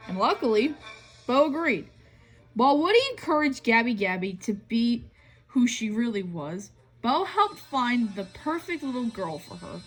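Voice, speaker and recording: A woman reading a children's story aloud, now and then tripping over a phrase and reading it again. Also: fairly high pitch. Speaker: storybook reader. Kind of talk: scripted read-aloud narration of a children's story. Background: music.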